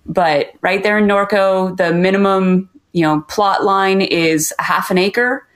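A woman from Southern California, a guest on a podcast, telling about her hometown in a chatty, lively way. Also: medium pitch, measured pace. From Southern California, USA. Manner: chatty and lively, laughs often. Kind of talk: casual podcast conversation.

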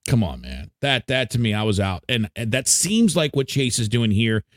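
A man's voice: medium pitch, very animated, normal speed, no echo, studio quality. An American man chatting casually about sports as a podcast co-host, with slangy, fan-style talk. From Frederick, Maryland, United States.

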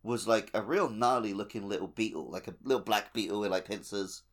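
Low-pitched man chatting casually. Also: brisk. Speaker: podcast co-host; teacher. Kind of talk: casual gaming podcast chat.

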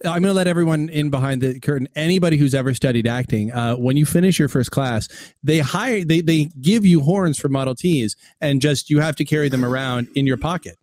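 A man with a fairly high voice hosts a casual interview. He is wry and chatty, wandering through his questions, and the sound around him is very dry. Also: fairly quick, darkish tone, dry recording. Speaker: interviewer, host.

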